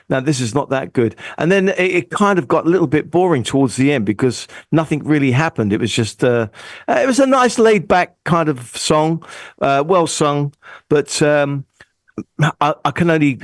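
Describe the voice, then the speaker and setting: A man's voice: mid-range voice, no echo, clean studio sound. A man giving a casual spoken critique as a panellist, hesitant and often restarting his words. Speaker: music review panellist.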